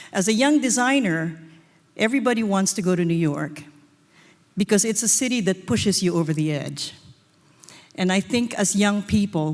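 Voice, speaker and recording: A woman, giving a short stage lecture as a design professor and telling personal stories. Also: on the low side. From Philippines. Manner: storytelling, personal anecdotes. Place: auditorium stage. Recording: reverberant hall.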